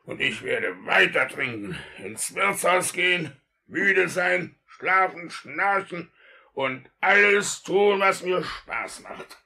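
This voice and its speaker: Gravelly, sluggish, pompous husband. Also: male voice, sits high, animated, slow pace, pushed and bright, full character voice. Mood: defiant, pompous. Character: Mr. Bumble, lazy, pompous, hard-drinking henpecked husband. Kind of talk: 1950s German radio drama acting.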